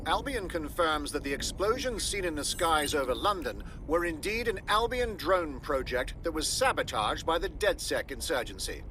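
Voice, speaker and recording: A man's voice: a little high, sing-song, normal speed, few pauses, bright, forceful tone, a little hiss or hum. An actor playing a formal male radio newsreader. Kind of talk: news read.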